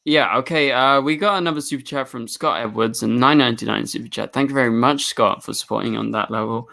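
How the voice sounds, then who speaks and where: A man's voice: mid-range voice, creaky, sharp tone. Man, mid-range voice, easygoing, casual show host. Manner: easygoing, quick agreeing interjections. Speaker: show host reading super chats. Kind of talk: casual podcast chat.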